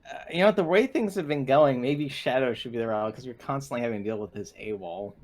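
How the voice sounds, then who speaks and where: A man's voice: medium pitch, quick pace. A man talking casually as a player in a tabletop roleplaying game. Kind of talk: casual chat.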